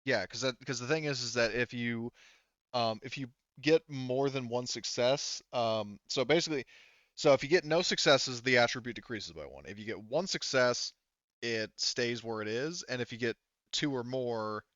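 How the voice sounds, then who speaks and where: A man running a tabletop game as game master, explaining rules casually and haltingly as he thinks aloud. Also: medium pitch, fairly bright tone, no echo, highs cut off.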